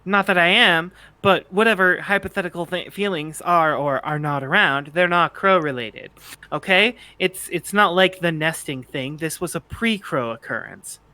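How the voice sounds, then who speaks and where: A flustered teenage boy, part bird as an amateur reader acts it out. The voice is sharp and bright with very little room sound. The delivery is exasperated and defensive, tripping over words in a fan fiction read-aloud. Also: mid-range voice, normal speed, no room sound, natural voice. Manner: stammering, exasperated, swearing.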